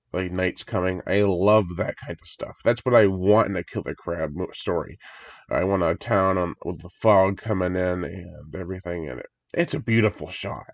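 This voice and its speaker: Congested low-voiced man reacting to a trailer. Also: gravelly, dry recording. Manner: enthusiastic, rambling, chuckles often. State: congested from a recent cold. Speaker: horror movie reviewer. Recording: dry, dull lo-fi home recording.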